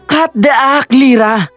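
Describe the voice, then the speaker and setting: A man's voice: very high voice, fairly bright tone, dry recording, heavily acted. A man playing a cruel, arrogant husband in a Filipino audio drama, with a taunting, theatrical delivery. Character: cruel, arrogant, sneering adult husband, a melodrama villain.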